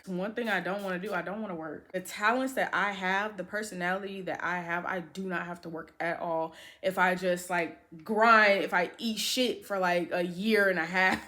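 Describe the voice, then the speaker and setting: A woman's voice: fairly low pitch, a bit bright. A woman in her twenties talking casually to camera in an upbeat, chatty way, with lots of filler words. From United States. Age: 20s. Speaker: beauty vlogger.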